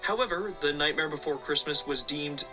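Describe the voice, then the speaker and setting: A man's voice: fairly high pitch, moderate pace. A man narrating a scripted video essay with casual asides. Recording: background music under the voice. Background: music.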